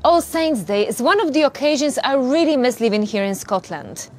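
A woman from Poland with a Polish accent, presenting a television documentary in measured, reflective narration. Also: high voice, lively intonation, darkish tone.

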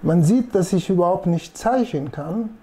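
A man in his forties with an Italian accent, a native Italian speaker, giving a casual, halting, self-deprecating demonstration in a gravelly voice. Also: high voice, animated, warm tone. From Italy. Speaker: presenter demonstrating espresso machines. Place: small indoor coffee showroom. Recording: noticeable background room noise.

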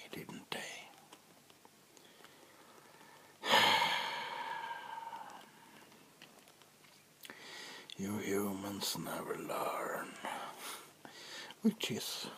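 Guy doing a smug vampire warlord for asmr, super slow n whispery, taunting, fake polite. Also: deep voice, exaggerated voice. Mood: smug menace. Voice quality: soft-spoken, whispery. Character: menacing, smug male vampire king of a wasteland. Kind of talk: ASMR roleplay. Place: small indoor room. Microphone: close mic.

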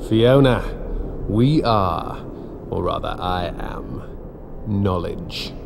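A creepy pompous alchemist villain from a horror game, low voice, loud rumble behind him. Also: male voice, expressive, vocal fry, exaggerated voice. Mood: grandiose. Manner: grandiose, deliberate, declaiming. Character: sinister hooded cloned alchemist, pompous and fanatical. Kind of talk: dramatic video game acting.

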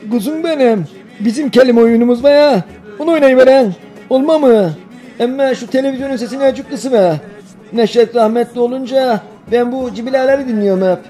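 High-pitched old Denizli village grandpa. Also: male voice, a little fast, mellow, faint music behind. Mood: doting, fond. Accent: Denizli, Aegean Turkish. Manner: folksy dialect, doting. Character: affectionate old village grandfather, folksy and playful. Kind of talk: cartoon voice acting.

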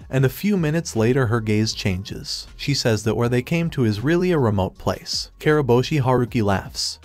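A man retells a manga chapter in steady third-person narration. His delivery stays even and flat, with stiff, translated-sounding wording. His voice sits at an ordinary middle pitch.

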